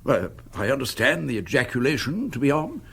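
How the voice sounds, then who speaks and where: Fussy, husky, swooping husband. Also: male voice, medium pitch, very animated, fairly quick, fairly bright tone, heavily acted. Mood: hesitant, gossipy. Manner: hesitant, fussy, deferential. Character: fussy, devoted, precious husband with poetic pretensions. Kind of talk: British radio comedy drama acting.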